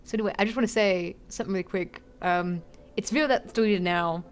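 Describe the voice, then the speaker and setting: A woman streaming a game live, chatting excitedly and giggling as she plays. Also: medium pitch, quick pace, clean tone. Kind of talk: casual live gameplay commentary.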